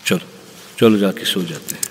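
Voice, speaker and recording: A man playing a patient, soothing husband and father in an audio horror drama, coaxing gently and hesitantly. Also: sits low, normal speed, natural voice. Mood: soothing, doubtful. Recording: long reverb, faint background noise.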